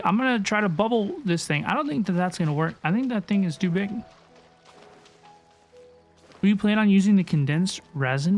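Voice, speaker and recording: A woman hosting a gaming livestream, chatting casually and often repeating phrases for emphasis. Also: medium pitch. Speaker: livestream host. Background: game music.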